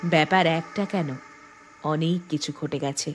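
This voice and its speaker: Woman as murdered village girl's ghost: low, slow, plaintive menace, animated horror, prominent music underneath. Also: lightly acted. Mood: eerily calm. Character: ghost of a murdered young village girl, grimly vengeful. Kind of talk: animated horror story acting.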